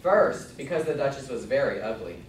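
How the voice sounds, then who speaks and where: A man's voice: mid-range voice, animated, a little fast. A man reading scripted storybook narration in a readers theater performance. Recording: echoey room, distant mic, noisy.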